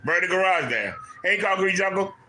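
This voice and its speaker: Bright-voiced man ranting in an echoey room. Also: high voice, animated, normal speed, a little hiss or hum. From Maryland, USA. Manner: incredulous, mocking, repeats points. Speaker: commentary channel host. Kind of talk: ranting commentary on a clip. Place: home room.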